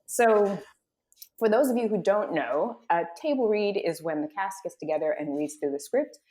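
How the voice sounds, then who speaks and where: A woman's voice: medium pitch, lively intonation, moderate pace, dark tone. A woman hosting a live table read and narrating the scripted scenes. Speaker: host and narrator.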